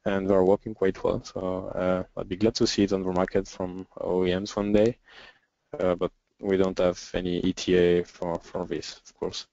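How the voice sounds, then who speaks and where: A low-pitched man with a French accent takes questions in an informal webinar. His delivery is halting, with frequent pauses. The audio is dry and dull, like a lo-fi online session. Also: level tone, mellow, no room sound. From France. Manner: hesitant, halting, with frequent pauses and throat clearing. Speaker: software developer and webinar presenter.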